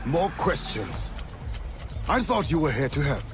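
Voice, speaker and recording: Imperious, embittered mentor, fairly high-voiced. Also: male voice, big pitch swings, measured pace, faint music behind. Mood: scheming, impatient. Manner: curt, scolding, imperious. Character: stern, paranoid, embittered male mentor of assassins. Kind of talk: dramatic video game voice acting.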